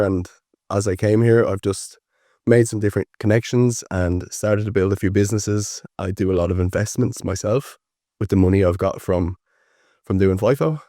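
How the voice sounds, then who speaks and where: A man in his twenties with an Irish accent, chatting as a podcast guest in a relaxed, rambling way with plenty of fillers. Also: fairly low pitch, no echo, studio quality. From Ireland. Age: 20s. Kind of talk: casual interview chat.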